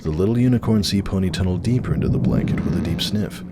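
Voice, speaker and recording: A man's voice: low-pitched, quick pace. A man narrating a story dramatically from a script. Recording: clearly noisy, storm ambience behind.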